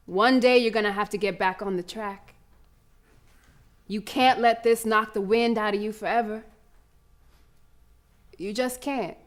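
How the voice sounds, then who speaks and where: A woman's voice: on the high side, fairly bright tone. An actor playing a fierce young girl runner in an audio play, telling of her grief in earnest, colloquial speech. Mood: subdued, grieving. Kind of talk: dramatic monologue in an audio play.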